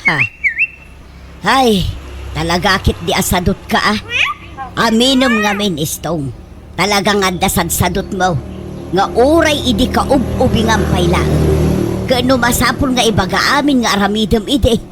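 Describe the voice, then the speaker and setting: A woman's voice: animated, moderate pace, long pauses, loud music behind the voice, lightly acted. A woman playing an exasperated, scolding older wife in an Ilocano comedy radio drama. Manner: scolding, complaining. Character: nagging, exasperated elderly wife of a lazy husband. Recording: very noisy, vehicle-like background rumble.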